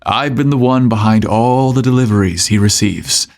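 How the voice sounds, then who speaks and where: A man voicing a confident, tender office hunk in love in an audio romance story, seductive and deliberate. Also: mid-range voice, creaky, close to an everyday voice.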